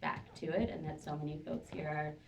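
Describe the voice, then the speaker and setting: A woman's voice: low-pitched, steady tone, normal speed, noisy background. A woman speaking at a live panel, talking casually with many fillers and restarts. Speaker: panel guest. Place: synagogue hall, live audience. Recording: echoey room, distant mic.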